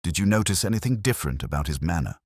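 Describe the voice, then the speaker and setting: A man's voice: low-pitched, animated, quick pace, darkish tone, no echo, clean studio sound. A man voicing a coolly analytical, commanding detective with a clipped, formal, probing manner in a storybook mystery.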